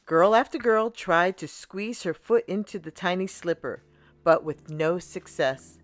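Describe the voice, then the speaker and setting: A woman reading a storybook aloud for children in an expressive way, with dramatic pauses and emphasis. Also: lowish voice, soft background music. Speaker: children's storybook reader and channel host.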